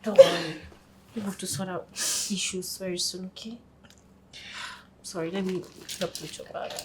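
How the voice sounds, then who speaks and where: A hospitable, caring married woman, played by an actress in a screen drama. She is expressive and fussing, with clear background noise on the recording. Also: fairly low pitch, normal speed, close to an everyday voice. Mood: sympathetic. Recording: noisy room with clicks.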